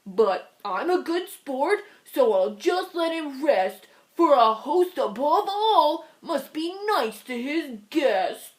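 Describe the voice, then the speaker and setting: A woman voicing a meek, big-hearted, long-suffering storybook moose with a gentle, obliging manner. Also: high-pitched, sing-song, slow pace, few pauses, heavily acted. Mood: resigned.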